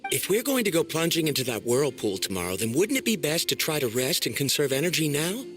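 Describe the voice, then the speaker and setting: Careful practical young companion, lightish voice w lots of movement, reserved, anime game. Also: male voice, on the high side, very animated, quick pace, fairly bright tone, soft background music. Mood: cautious.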